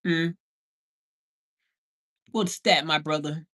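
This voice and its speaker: A man's voice: fairly high pitch, steady tone, drawn-out words, bright, forceful tone. A man hosting a casual livestream, talking in a slangy, playful way with emphatic exclamations. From Bahamian background. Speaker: livestream host and house flipper.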